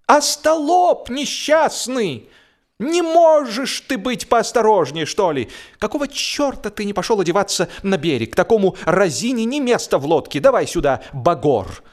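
A man playing an excitable, high-pitched gentleman, exclaiming loudly. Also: moderate pace. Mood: outraged. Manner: shouting exclamations. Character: excitable, mocking, hot-tempered young gentleman friend.

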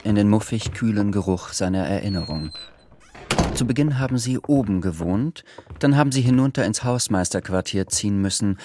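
A man's voice: on the low side, noisy background. A man reading scripted literary narration for a radio drama. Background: music.

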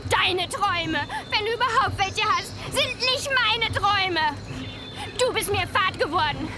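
A scornful young girl in a radio play, with an extremely high voice. She declaims her cutting dismissals in a bored, superior way. Loud music plays behind her. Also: animated, a little slow, a loud crowd. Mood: cold scorn. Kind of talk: radio drama acting.

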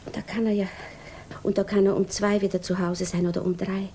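An actress playing an anxious, lovesick young woman in a 1950s German radio drama, with a breathy voice that often drops to a whisper. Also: mid-range voice, level tone, fairly quick, warm tone, slight background noise, natural voice. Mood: restless despair.

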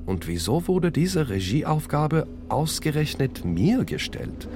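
A man narrating a scripted first-person radio documentary, with deliberate, pointed phrasing. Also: a little high, sing-song, heavy background noise. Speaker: narrator and author of a radio play.

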